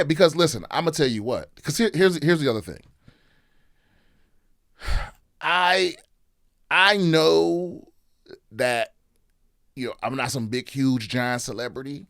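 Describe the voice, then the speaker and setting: A man's voice: mid-range voice, fairly bright tone, dry recording, clean studio sound. A man in casual podcast chat, ranting and swearing, with many restarts. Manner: ranting, profane, repeats and restarts. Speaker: comedian guest.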